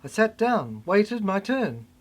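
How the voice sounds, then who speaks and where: A performer delivering a theatrical spoken-word monologue in fragmented, halting phrases with dramatic swings. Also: medium pitch, sing-song.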